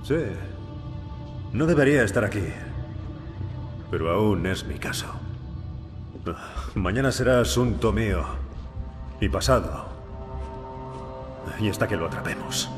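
Game role, resolute police lieutenant; deep-leaning, unhurried, stern, reverberant with ominous score. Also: male voice, lively intonation. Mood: defiant, grim. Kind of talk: video game cutscene acting in Spanish dub. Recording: long reverb, ominous music behind.

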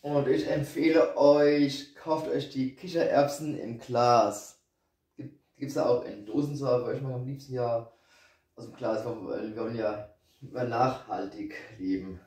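Relaxed man with a regional German dialect. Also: mid-range voice, measured pace, far from the mic. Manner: relaxed, rambling, with hesitations and chuckles. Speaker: home cook vlogger. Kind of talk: casual cooking tutorial. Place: home kitchen indoors. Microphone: camera set on the counter, speaker off to the side. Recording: roomy kitchen, voice away from mic, faint noise.

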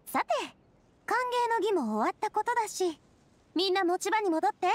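A woman's voice: very high voice, expressive, moderate pace, no room sound. A woman playing a confident, cheerful young leader in an anime game, breezy and self-assured. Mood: bright, breezy. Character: Navia, confident cheerful young woman, boss made fairytale king. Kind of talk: Japanese anime game voice acting.

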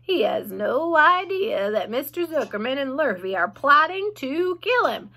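A knowing, gossipy old mother goose, as a woman puts her on while reading, confiding and emphatic. The voice is bright and a little high, with lively swings in pitch. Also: unhurried, exaggerated voice.

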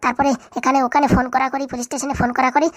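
A woman's voice: sits high, level tone, rapid-fire, dry recording. A woman voicing a sly, bossy young girlfriend in a comic cartoon, glib and colloquial with a Bengali accent. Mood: worried, persuasive. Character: sly, bossy young woman scheming to fleece her boyfriend.

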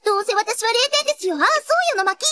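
A woman's voice: extremely high pitch, very fast, over loud music. A woman voicing a cute, perky teenage magical-girl princess in an anime, sweet on the surface and secretly ruthless. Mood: bubbly. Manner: cutesy, perky, girlish. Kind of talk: anime voice acting.

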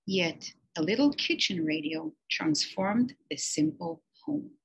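A teacher reading a children's picture book aloud, slow and expressive with emphatic pauses. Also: female voice, medium pitch, vocal fry, breathy edge, highs cut off.

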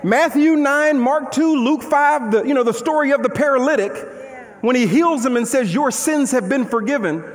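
A young man preaching from a large church stage, with a strikingly high voice and reverb that hangs in the big hall. His delivery is animated and conversational, full of rhetorical questions, and he moves around as he talks. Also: normal speed. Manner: paces the stage and gestures while preaching. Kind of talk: sermon.

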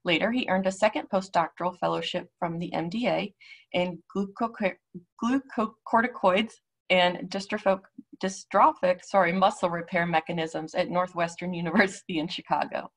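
A woman's voice: fairly low pitch, steady tone, moderate pace. A woman hosting an online event, reading out a speaker introduction and stumbling apologetically over some words. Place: indoors, video call.

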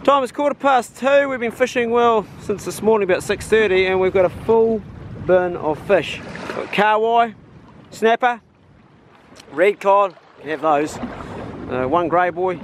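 Excitable Kiwi man on a windy boat. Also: high voice, unhurried. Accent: New Zealand. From New Zealand. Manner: excitable, slangy, laughing. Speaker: fishing vlogger. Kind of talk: casual outdoor vlog commentary. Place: small open boat at sea, outdoors. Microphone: head-mounted action camera. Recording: wind and water noise.

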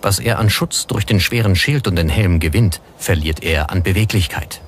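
A man's voice: deep voice, normal speed, a little hiss or hum. A man reading scripted narration as the narrator of a documentary. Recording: music under the voice. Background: background music.

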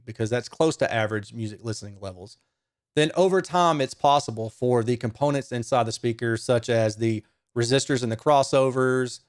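A man's voice: medium pitch, no room sound. A man in his forties giving a casual, conversational technical explanation to camera, step by step, into a close studio microphone. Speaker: host, speaker reviewer. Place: small home studio room, indoors.

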